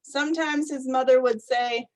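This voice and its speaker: A woman reading a children's story aloud in a gentle, repetitive storybook cadence. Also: high-pitched, steady tone, normal speed.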